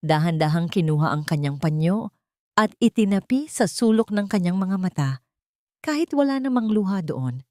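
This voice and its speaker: Female audio drama narrator: lowish pitch, solemn and emotive, dry close recording. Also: expressive, normal speed, mellow, no echo.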